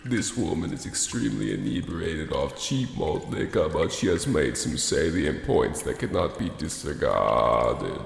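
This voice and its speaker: A pompous old cartoon statesman, booming from the very bottom of his range with a heavy gravel to every word. He talks formally and at length, rising and falling through his sentences. The room around him rings with long reverb. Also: big pitch swings, unhurried, hardly any pauses, slight background noise, exaggerated voice. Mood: grave. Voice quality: very deep, gravelly. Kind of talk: comedic radio play acting.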